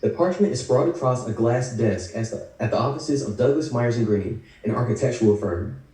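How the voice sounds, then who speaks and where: Male stage-direction narrator, scripted read, very relaxed dark tone, far from the mic. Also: medium pitch, fairly quick. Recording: echoey room, distant video call mic.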